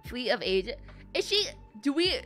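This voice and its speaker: A woman's voice: high-pitched, a little slow. A woman chatting casually on a game livestream, talking playfully to her viewers. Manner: animated, playful, chatty, addresses viewers as gamers and guys. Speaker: game streamer. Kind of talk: casual livestream chat over gameplay. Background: game music.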